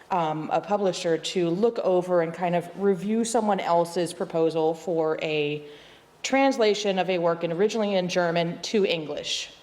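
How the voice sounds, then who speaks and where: A woman's voice: on the low side, darkish tone, in a large hall. A woman on a panel speaking in a chatty, self-deprecating way, slipping jokes into her answer.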